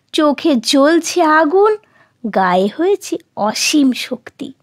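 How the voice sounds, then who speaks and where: A woman storyteller giving an expressive scripted narration of a children's story. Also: sits high.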